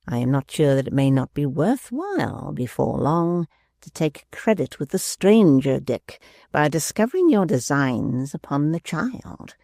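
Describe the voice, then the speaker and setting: A gravelly, slow-spoken dwarf who sneers and threatens with spiteful glee, as a male reader puts him on. The voice drops low and crackles with fry in a dry, close recording. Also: big pitch swings, darkish tone, no room sound, exaggerated voice. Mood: scheming. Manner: sneering and menacing. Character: spiteful scheming male dwarf, bullying and gleeful. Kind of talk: audiobook character voice.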